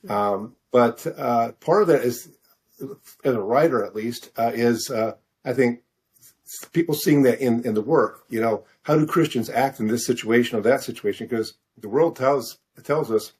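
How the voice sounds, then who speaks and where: Halting, hesitant man in an interview. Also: medium pitch, a little mellow. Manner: halting, hesitant, frequent throat-clearing. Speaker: guest author. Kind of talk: interview conversation.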